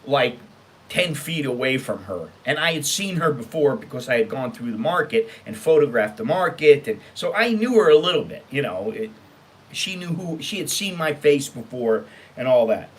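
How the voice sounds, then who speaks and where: A man's voice: medium pitch, very animated, normal speed. An American man hosting a casual storytime show, blunt, sweary and exasperated as he reads out and comments on emails. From United States. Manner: emphatic, exasperated. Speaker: online storytime show host.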